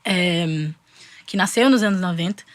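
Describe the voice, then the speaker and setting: A woman's voice: fairly low pitch, a little slow, no echo. A young woman from Brazil with a Bahian accent, reflecting thoughtfully as a guest in a casual interview, restarting her sentences often. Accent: Bahian Portuguese. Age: young adult. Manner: reflective, with frequent restarts and fillers. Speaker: guest artist.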